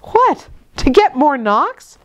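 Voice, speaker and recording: A woman's voice: sits high, big pitch swings, slow pace, a bit bright, muffled recording, pitched above the performer's own voice, exaggerated voice. Woman reading as a lonely, guarded little girl. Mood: defiant. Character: lonely, sorrowful little girl, wary but bold.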